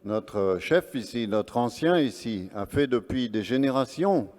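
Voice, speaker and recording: A man's voice: mid-range voice, expressive, measured pace, a little mellow. A man presenting and interpreting live at an event on stage, speaking earnestly phrase by phrase. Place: large event hall on stage. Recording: long reverb of a large hall.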